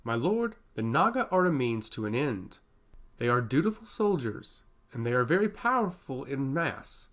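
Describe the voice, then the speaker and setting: A dutiful, coldly pragmatic commander played by a man addresses his lord in a fantasy tale. He is formal and solemn, and his medium-pitched voice moves through wide inflections. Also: big pitch swings, moderate pace, fairly bright tone. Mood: firm. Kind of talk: fantasy audiobook dialogue.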